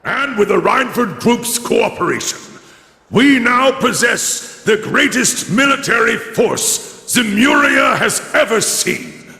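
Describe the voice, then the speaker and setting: High, ringing, grandiose imperial war leader. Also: male voice, animated, slow, creaky, pushed and bright, exaggerated voice. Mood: triumphant rallying. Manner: solemn, grandiose, declamatory. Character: grave, commanding male imperial leader rallying a nation for war. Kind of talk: dramatic wartime oration in a video game. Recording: echoey large hall with long reverb.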